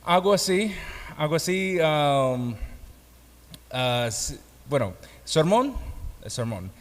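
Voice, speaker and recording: Male pastor-teacher, non-native speaker with foreign accent, unhurried and halting classroom delivery, reverberant hall recording. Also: on the high side, sing-song. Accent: foreign, non-native Spanish. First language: not Spanish. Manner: halting, learner's grammar slips. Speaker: pastor and Bible course teacher. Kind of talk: classroom lecture, explaining a course. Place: classroom or hall with students present. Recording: echoey hall with long reverb.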